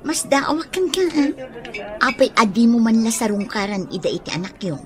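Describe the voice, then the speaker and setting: A woman's voice: a little high, expressive, moderate pace, close to an everyday voice. An actress playing a teasing, frank young woman who nudges a friend toward romance in a radio drama. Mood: urging.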